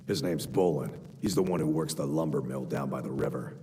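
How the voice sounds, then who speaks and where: An actor playing a plain-spoken rustic Nord war veteran in a video game, with folksy grammar. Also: male voice, very deep voice, fairly quick, warm tone. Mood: gruff, matter-of-fact. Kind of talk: video game character dialogue. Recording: long hall reverb, music behind.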